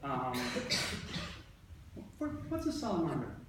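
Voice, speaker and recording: A man with a fairly high voice teaches young children through an interactive read-aloud. He instructs, rephrases and puts questions to his listeners. The recording is distant and strongly reverberant, with clear background noise. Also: huge pitch swings, slow. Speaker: elementary school teacher. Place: indoor classroom. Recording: roomy, echoey, distant mic with background noise.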